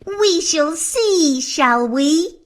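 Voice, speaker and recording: A woman's voice: sits high, animated, very slow, full character voice. A woman voicing a vain, bossy young stepsister in a children's fairy tale, with a haughty, demanding manner. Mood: smug.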